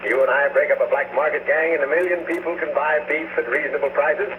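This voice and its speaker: An actor playing a grudging, good-humoured police inspector bantering in a 1940s radio drama, over a noisy old broadcast. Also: male voice, fairly high pitch, steady tone, quick pace, phone-quality sound, lightly acted.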